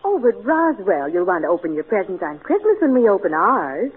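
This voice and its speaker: A woman's voice: mid-range voice, big pitch swings, brisk, husky. An actress playing a dry, sensible housewife and mother in old radio comedy. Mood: polite. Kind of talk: 1940s radio comedy acting. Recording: tinny, narrow-band old radio recording.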